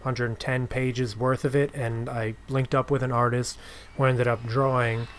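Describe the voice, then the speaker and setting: A man's voice: medium pitch, little pitch movement, moderate pace, slight background noise. A man speaking as a podcast host in a casual interview chat.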